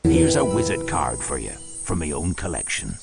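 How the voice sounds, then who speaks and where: An actor playing a big, friendly, folksy groundskeeper for a video game, gruff and gravelly, with a West Country English accent. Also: male voice, low voice, wildly swooping pitch, moderate pace, exaggerated voice. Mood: delighted, fond. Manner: folksy, hearty, dropped sounds. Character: big friendly groundskeeper fond of monsters, warm and eager. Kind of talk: video game character acting. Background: game music.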